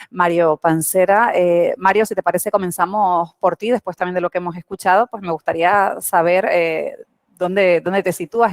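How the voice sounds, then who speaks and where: A woman's voice: fairly low pitch, no room sound. Woman moderating a panel, dry sound. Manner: polite, formal, with restarts.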